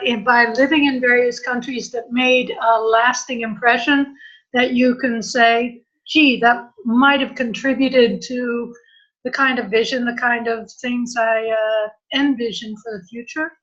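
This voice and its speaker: Higher-pitched woman host, polite and measured, on muffled call audio. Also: fairly even intonation, a bit bright. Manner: courteous, measured, slightly halting. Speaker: interviewer. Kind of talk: polite formal interview.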